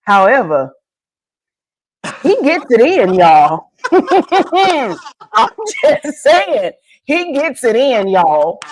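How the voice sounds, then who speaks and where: A woman's voice: medium pitch, poor audio. A woman hosting a casual interview podcast, playful and enthusiastic, hyping up her guest. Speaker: podcast host.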